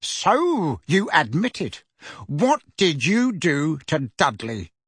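A man voicing a blustering, bullying middle-aged uncle in an audiobook, loud and indignant. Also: fairly high pitch, big pitch swings, slow pace, husky, no echo, studio quality, exaggerated voice. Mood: accusing, roaring.

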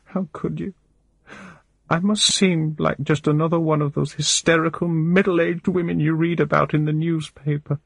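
A distraught middle-aged woman pleads in a trembling, tearful voice, as a male narrator puts her on. Her voice sits far above the reader's own low register and keeps a husky edge. The sound is close and dry, with no room around it. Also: sing-song, measured pace, pauses often, dry recording, full character voice.

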